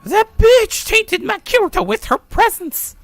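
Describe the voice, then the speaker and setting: A man's voice: very high voice, measured pace, gravelly, fairly bright tone, dry recording, soft background music, pitched above the performer's own voice, heavily acted. A man voicing a jealous, deranged yandere schoolgirl in a melodramatic way for a visual novel game. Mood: possessive, unhinged.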